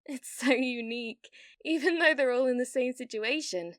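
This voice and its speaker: A woman's voice: high voice, fairly bright tone, no room sound, studio quality. A British woman voicing a delusional, needy, obsessive young gamer in love, in an ASMR roleplay. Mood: sweetly unhinged. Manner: breathy giggles, slow dreamy delivery with sudden intense bursts. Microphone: close studio-style mic, dry.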